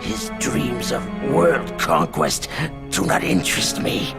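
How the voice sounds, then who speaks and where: An actor playing a dying psychic villain in a video game, sinister and mournful, with a breathy rasp and slow, theatrical delivery. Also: male voice, mid-range voice, very animated, loud music behind the voice, full character voice. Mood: gloating menace. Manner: slow, halting, dramatic phrasing. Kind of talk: video game cutscene acting.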